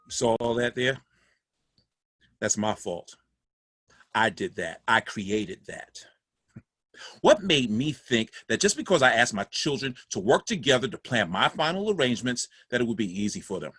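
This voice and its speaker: Actor as husky, regretful elderly father. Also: medium pitch, animated, normal speed, a bit bright, no room sound. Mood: rueful, reflective. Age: older. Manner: rueful, reflective monologue. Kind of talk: dramatic monologue in play reading.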